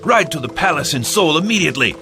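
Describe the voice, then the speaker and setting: An actor playing a resolute, authoritative admiral in a dramatic game cutscene, speaking deliberately. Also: male voice, fairly high pitch, big pitch swings. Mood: grave, urgent. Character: commanding, resolute Korean admiral. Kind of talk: dramatic scripted game acting. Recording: loud music behind the voice.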